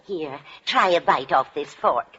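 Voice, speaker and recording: A woman's voice: low voice, animated, measured pace, pushed and bright, husky, full character voice. An actress playing a fussy, motherly, scatterbrained landlady in a 1940s radio comedy. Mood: cheerful. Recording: dull, noisy vintage radio recording.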